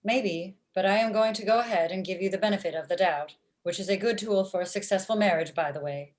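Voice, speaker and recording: A woman playing a blunt female matchmaking robot with formal, deadpan phrasing in a comedy table read over video call. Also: mid-range voice, a little fast, close to an everyday voice. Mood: measured, frank. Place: indoor room at home.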